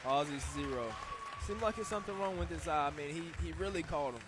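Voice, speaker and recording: A man gives ringside analysis of a kickboxing bout in a casual, opinionated way. His voice sits a little high, and he often chimes in to agree with his partner. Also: quick pace, a crowd in the background. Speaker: fight analyst. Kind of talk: live ringside commentary.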